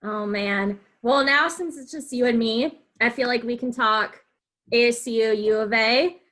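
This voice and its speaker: A woman with a fairly high, very bright voice hosts an interview. She asks her questions in a casual, friendly, conversational way. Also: a little slow. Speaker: podcast host and interviewer.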